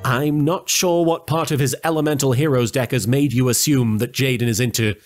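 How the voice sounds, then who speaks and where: A man's voice: mid-range voice, steady tone, a little fast, faint music behind. A man hosting an anime recap, narrating scripted humor in a sarcastic, mock-serious way. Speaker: host of an anime recap series.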